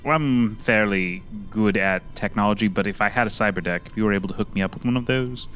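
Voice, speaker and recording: Man as a deep-voiced, wry tech expert, music underneath. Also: fast, fairly bright tone, lightly acted. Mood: weary, casual. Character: weary, dry, observant male tech expert, John Galt. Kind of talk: tabletop roleplay and noir-like scripted narration.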